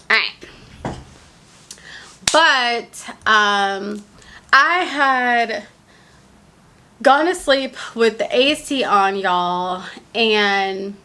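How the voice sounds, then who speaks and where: A young woman chats straight to her camera on a stand in an easygoing heart-to-heart. Her tone is bright and forward, and she takes her time, with plenty of filler words along the way. A leftover cold leaves her sounding a touch congested. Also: mid-range voice. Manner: chatty, candid, many fillers like "like". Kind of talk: casual heart-to-heart vlog.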